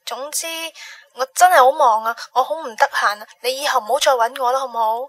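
An actress playing a selfless young woman hiding her illness in a radio drama, soft and evasive, with a slightly husky voice. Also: sits high, fast. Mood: evasive, distancing. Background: music.

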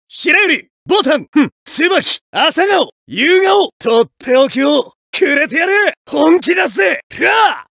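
An actor playing a cocky, swaggering young male samurai brawler, with rough street-tough talk in fighting game voice clips. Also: very high-pitched, pushed and bright, no room sound, studio quality, exaggerated voice. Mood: fierce battle cries. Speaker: game character voice. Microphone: dry studio mic.

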